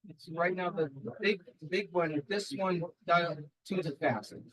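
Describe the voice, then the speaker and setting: A man's voice: high voice, moderate pace, a bit bright, slightly rough, dry recording, rough recording. A man in the audience at a club meeting, adding brief, practical technical remarks.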